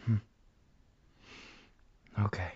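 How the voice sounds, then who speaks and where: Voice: drawn-out words, dull audio, heavy background noise. An actor playing a tender, frightened young boy prince and loving little brother in an amateur fantasy audio drama. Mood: subdued, trusting.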